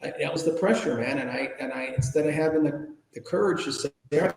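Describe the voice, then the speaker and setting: An American man from Chicago, fifties or older, telling his own story candidly as a podcast guest in an informal, halting, emphatic way. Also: on the high side, darkish tone. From Chicago, United States. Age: 50s or older. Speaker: podcast guest, ethics trainer and speaker. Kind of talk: candid personal storytelling in an interview. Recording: roomy, echoing reverberant room.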